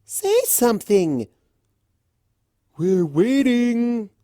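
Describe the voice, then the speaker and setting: A narrator voicing impatient cartoon ponies in a story, calling out with loud jeers. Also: mid-range voice, sing-song, drawn-out words, no room sound, studio quality, pitched above the performer's own voice. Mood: impatient jeering. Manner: loud, jeering calls. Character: impatient, heckling ponies in a crowd.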